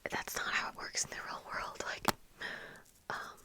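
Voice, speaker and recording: Whispering young woman, close and crisp. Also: measured pace, sharp tone. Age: young adult. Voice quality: whispered, breathy, unvoiced. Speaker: ASMR video creator. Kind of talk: whispered ASMR, casual get-ready-with-me chat. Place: small room at home with bookshelves. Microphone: close desk microphone with fluffy windscreen.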